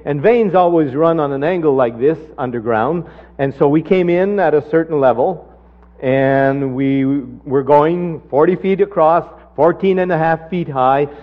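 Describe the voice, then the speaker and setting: A man preaching a sermon and telling a personal testimony, climbing sharply in pitch when he drives a point home. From Canada. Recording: dull lo-fi sermon recording.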